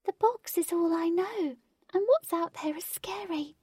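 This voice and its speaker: A woman voicing a shy, nervous female fairy who has spent years shut in a box, speaking in a timid, breathy whisper. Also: very high voice, unhurried, dark tone, no echo, clean studio sound, distant mic, pitched above the performer's own voice. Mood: fearful.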